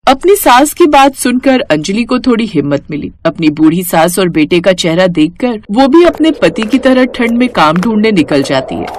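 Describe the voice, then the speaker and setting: A woman's voice: medium pitch, a little hiss or hum. A woman reading scripted narration for a moral cartoon story.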